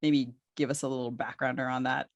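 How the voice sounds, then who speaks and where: A woman's voice: rumbling low, flat delivery, fairly quick, dry recording. A woman in her forties chatting casually as a podcast co-host. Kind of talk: casual conversational interview.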